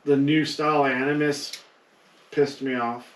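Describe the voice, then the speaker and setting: A man's voice: mid-range voice, little pitch movement, unhurried. A man chatting casually as a panelist on a group podcast. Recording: roomy echo, distant mic.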